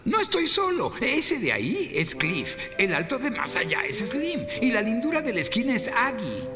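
A man's voice: extremely high pitch, huge pitch swings, moderate pace, heavily acted. A man voicing a lonely, eccentric old blind cave creature in a cartoon dub, courteous and chatty. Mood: apologetic, chatty. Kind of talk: animated series dub. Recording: long reverb, music behind.

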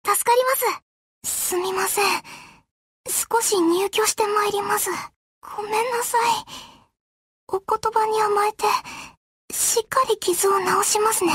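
A gentle young warship girl from an anime game, devoted and courteous to her admiral. Her voice is extremely high and bright. She speaks at an unhurried pace with a polite, deferential manner. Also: steady tone, dry recording, clean studio sound. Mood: shy, apologetic. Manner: soft-spoken, courteous, deferential. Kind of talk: Japanese anime game voice acting.

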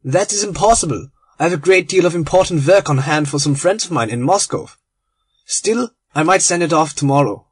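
A man's voice: a little high, lively intonation, fairly quick, breathy edge, no echo, pitched above the performer's own voice. A man reading aloud, voicing a genial, proud little German bomb-maker in a storybook. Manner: cheerful, effusive, courteous.

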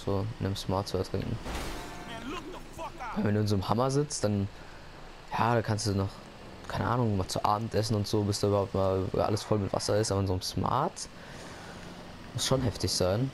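Male let's-player, low gravelly voice, noisy capture, rambling jokes. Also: a little fast, long pauses. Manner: rambling, joking to himself. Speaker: gaming YouTuber. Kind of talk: casual let's-play commentary. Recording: noisy gameplay recording.

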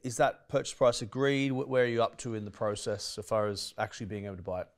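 A man acting as an investor, questioning a property pitch directly. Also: little pitch movement, fast. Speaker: angel investor.

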